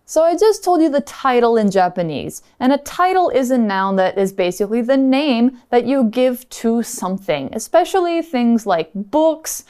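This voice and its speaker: Clear-voiced woman explaining words. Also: on the high side, big pitch swings, moderate pace, few pauses, mellow. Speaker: podcast co-host teaching English. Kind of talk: explaining vocabulary in casual chat.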